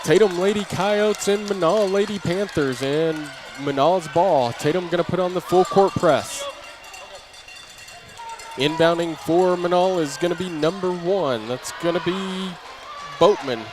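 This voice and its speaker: A man's voice: high-pitched, measured pace. A man giving live basketball play-by-play commentary from a noisy gym with a crowd. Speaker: sports play-by-play announcer. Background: crowd and bouncing basketball in gym.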